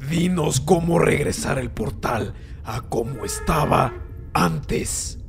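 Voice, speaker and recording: A man playing a brutal, commanding cartoon interrogator with a gravelly, menacing voice. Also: on the high side, takes it slowly. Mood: threatening. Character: brutal, threatening male interrogator.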